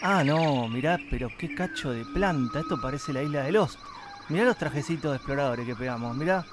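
A man's voice: on the high side, animated, fairly quick, a crowd in the background, lightly acted. A man with a Rioplatense Argentine accent playing a flustered, complaining radio host in a comic radio play, exclamatory and rambling. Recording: music behind.

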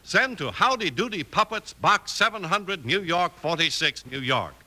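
A man's voice: high-pitched, expressive, moderate pace, pushed and bright. A male 1950s radio announcer with an emphatic, showy delivery for commercials and dramatic narration.

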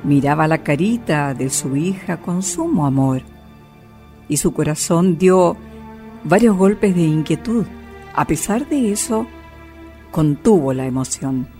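A woman's voice: deep voice, lively intonation, a little slow, warm tone, faint music behind. A woman narrating a radio drama as a solemn, sentimental storyteller.

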